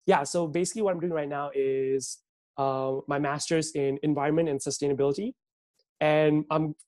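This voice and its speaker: A young man from Malaysia answers interview questions in a relaxed, agreeable manner, often saying "yeah." His voice is a little high and sounds dry, with no room echo. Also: moderate pace. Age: young adult. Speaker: guest, visual artist. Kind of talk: interview conversation.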